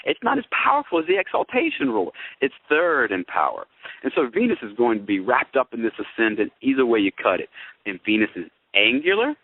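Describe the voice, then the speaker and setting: A man's voice: mid-range voice, huge pitch swings, a little fast, no room sound. A man co-hosting an astrology talk, explaining things in a folksy, conversational way and often checking in with listeners. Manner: explanatory, folksy, addresses listeners with tag questions. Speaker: co-host, astrologer. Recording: tinny, phone-like radio-show audio.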